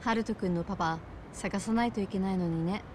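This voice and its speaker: An actress playing a friendly, straightforward bar owner in a video game, talking casually and directly. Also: female voice, medium pitch, brisk, noisy background, close to an everyday voice. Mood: apologetic. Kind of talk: video game cutscene acting.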